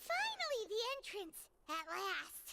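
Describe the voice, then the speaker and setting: A woman voicing a tiny, childlike companion creature in a video game, squeaky, eager and plucky. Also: unhurried, gravelly, very noisy, exaggerated voice. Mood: puzzled, relieved. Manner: squeaky, excitable. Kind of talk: scripted video game voice acting. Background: loud game music.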